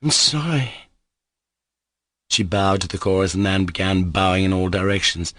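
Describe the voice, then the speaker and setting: A man voicing an emotional, drunken young woman who pleads in a halting, breathless way. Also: sits low, animated, a bit bright, dry recording, studio quality. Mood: faint.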